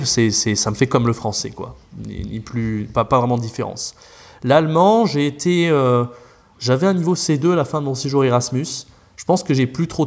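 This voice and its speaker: A French man, a language teacher, talking casually as a podcast guest, rambling with many fillers and restarts. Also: medium pitch.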